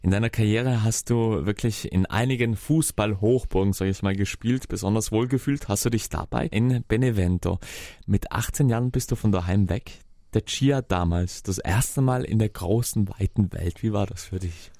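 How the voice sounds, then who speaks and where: A man's voice: on the low side, moderate pace, warm tone, dry recording, clean studio sound. A man, asking a footballer friendly, conversational interview questions. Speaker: sports interviewer. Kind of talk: interview questions and scripted lines.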